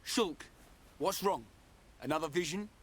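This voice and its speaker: A brash but good-hearted young fighter talks in a lively, high-set voice. It is video game acting with background ambience. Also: male voice, measured pace, a little hiss or hum. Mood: concerned.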